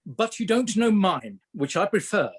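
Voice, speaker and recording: A man's voice: high-pitched, big pitch swings, normal speed. A man playing a sarcastic, domineering Victorian stage director in an amateur radio play. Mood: sarcastic, impatient.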